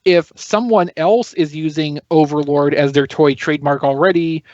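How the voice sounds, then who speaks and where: A man's voice: on the high side, normal speed, darkish tone, no room sound, muffled recording. A man on a podcast panel, explaining things casually but analytically and in detail.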